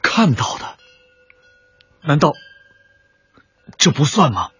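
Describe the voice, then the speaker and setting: An actor playing an earnest, intense martial arts disciple bent on revenge in a radio drama, with a husky, breathy voice. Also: male voice, fairly high pitch, very animated, slow, fairly bright tone, highs cut off, full character voice. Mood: earnest, puzzled. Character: earnest male kung fu disciple, obsessed with revenge. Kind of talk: Chinese radio drama acting.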